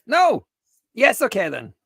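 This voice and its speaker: A woman chatting casually as a co-host, excitable and quick to interject. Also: fairly high pitch, creaky, breathy edge, no room sound, studio quality. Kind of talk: casual chat.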